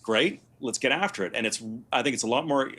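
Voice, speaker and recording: A man's voice: medium pitch, animated, fairly quick, pushed and bright, breathy edge. A man giving conversational political commentary as a panelist.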